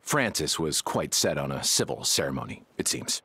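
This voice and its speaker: A wistful townsperson in a video game. The voice is deep and moves widely in pitch. He sounds lofty, in a dry recording. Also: very animated, normal speed, sharp tone, breathy edge, dry recording, full character voice.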